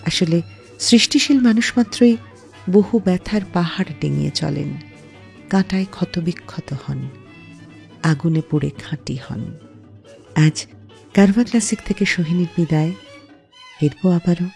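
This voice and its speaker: A woman hosting a radio music show, narrating a script in a measured, expressive storytelling way. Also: on the low side, gravelly. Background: music playing under the voice.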